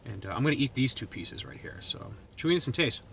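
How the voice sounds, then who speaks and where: Bright-voiced Boston man, noisy recording. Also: mid-range voice, lively intonation, quick pace, gravelly. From New England, United States. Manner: informal, rambling thinking aloud. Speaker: food review vlogger. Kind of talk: casual chat to camera while taste-testing. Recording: noticeable background noise.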